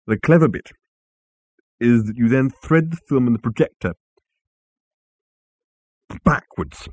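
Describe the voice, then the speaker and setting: A man's voice: medium pitch, animated, unhurried, darkish tone, slightly rough, no echo. A man voicing an easygoing, tipsy male alien traveller who rambles on. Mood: rambling. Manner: chatty, rambling.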